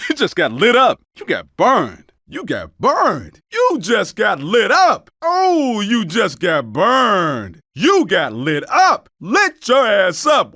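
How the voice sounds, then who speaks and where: Tough male undercover cop for a shooter game: high voice, very animated pitch, clipped commanding barks. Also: no room sound, clean studio sound, full character voice. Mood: cocky, taunting. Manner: terse, urgent, commanding callouts. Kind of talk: video game combat barks.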